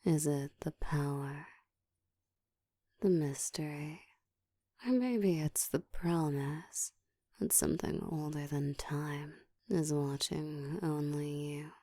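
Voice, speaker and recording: A woman voicing a seductive, dominant ancient vampire in an intimate ASMR roleplay, teasing and commanding, often slipping into a soft whisper. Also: slow pace, no echo, studio quality. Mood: seductive, taunting. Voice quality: soft, breathy whispering mixed with low murmur.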